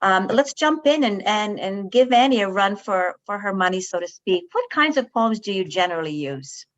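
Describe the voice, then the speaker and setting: A woman's voice: medium pitch, animated, normal speed. A woman moderating a webinar over a video call, encouraging the speakers with effusive praise. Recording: dull lo-fi call audio.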